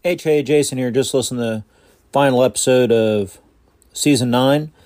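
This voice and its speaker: A man's voice: mid-range voice, normal speed, a little mellow, dry recording, clean studio sound. A man calling in to a podcast, leaving a casual voice message. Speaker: podcast caller.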